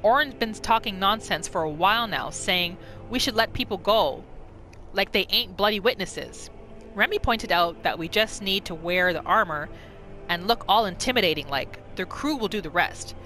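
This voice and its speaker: A woman voicing a rough, callous bandit who tells a grudging story in slangy, menacing words, in a game roleplay. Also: mid-range voice, lively intonation, normal speed, sharp tone, soft background music. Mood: menacing, distrustful. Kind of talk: in-character reading of a game journal.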